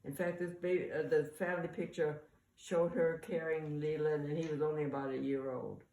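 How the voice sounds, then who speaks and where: An older woman, a mother casually sharing family memories in a halting, conversational way. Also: sits low, little pitch movement, dark tone. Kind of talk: casual oral-history reminiscence. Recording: echoey, distant mic, faint room noise.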